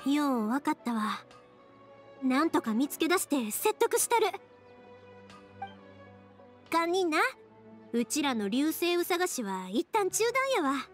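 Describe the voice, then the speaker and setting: A lively young Kansai fireworks girl. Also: high voice, takes it slowly, pauses often, soft background music, full character voice. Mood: upbeat. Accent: Kansai Japanese. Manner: breezy, friendly dialect banter. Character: cheerful young woman fireworks maker.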